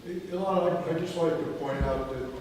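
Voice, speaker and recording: A man's voice: fairly high pitch, fairly quick, darkish tone, slight background noise. An older man in the audience of a synagogue sanctuary, speaking emphatically and with feeling into a handheld mic. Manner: impassioned, emphatic. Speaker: audience member. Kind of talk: audience comment at a talk. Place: large synagogue sanctuary, indoors. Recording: echoey hall from far off.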